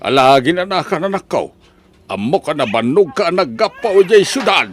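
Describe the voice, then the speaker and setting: A man's voice: high-pitched, very animated, normal speed, over music. A man with a Filipino accent playing a proud, hardworking, doting farmer father in an audio drama. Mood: proud, fond. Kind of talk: Ilocano audio drama acting. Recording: faint background noise.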